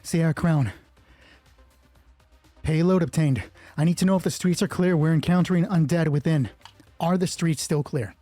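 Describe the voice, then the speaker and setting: Man playing a tough female soldier, curt, threatening, low husky voice, tabletop roleplay, faint music. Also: moderate pace, long pauses, mellow, no echo, close to an everyday voice. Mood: brisk, businesslike. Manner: curt, commanding, menacing. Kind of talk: acted dialogue in tabletop roleplay.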